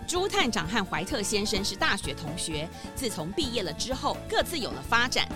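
A woman giving an expressive, scripted narration of a story for children. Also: medium pitch, a little fast, music in the background.

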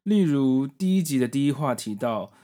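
Male podcast host, forties, Taiwanese Mandarin accent. Conversational reviewer with a very varied, lively pitch. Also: normal speed. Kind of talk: solo manga review, conversational narration.

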